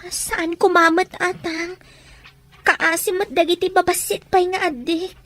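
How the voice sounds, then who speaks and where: A woman's voice: very high-pitched, flat delivery, measured pace, a bit bright. A woman playing a worried young daughter who pleads for her siblings in an Ilocano radio drama, with an Ilocano accent.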